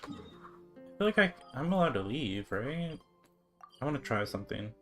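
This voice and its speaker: A man's voice: mid-range voice, big pitch swings, normal speed, a bit bright. Brief: male livestreamer, mid-range voice, laid-back casual chat while playing, game music underneath. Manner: laid-back, thinking aloud. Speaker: game streamer.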